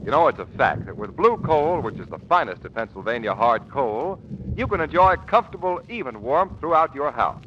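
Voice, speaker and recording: A male vintage radio announcer delivers a sponsor pitch with lively swings in a mid-range voice. The recording is slightly muffled with faint noise. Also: a little fast. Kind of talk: 1930s radio advertising read.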